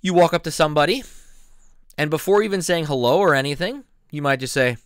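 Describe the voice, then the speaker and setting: A middle-aged man from southeastern Pennsylvania giving a playful, animated casual video lesson, laughing often. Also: fairly high pitch, fairly quick, smooth, no echo, clean studio sound. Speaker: YouTube language teacher. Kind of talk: casual vlog-style lesson.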